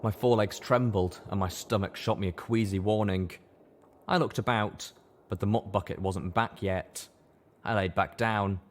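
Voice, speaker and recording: A man reading scripted first-person fiction as a dramatic audiobook narrator. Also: low voice.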